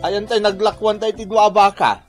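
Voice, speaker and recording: An actor with an Ilocano accent playing a sly, boastful philandering husband in a radio drama, wheedling and swaggering. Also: male voice, high voice, fairly even intonation, normal speed, faint music behind, lightly acted. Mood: wheedling, scheming.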